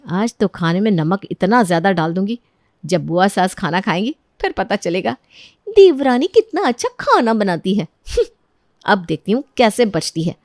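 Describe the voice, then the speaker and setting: A woman's voice: mid-range voice, very animated, moderate pace, long pauses, dry recording, clean studio sound. A woman voicing a scheming, jealous young sister-in-law in a melodramatic story, two-faced and falsely sweet. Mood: gloating.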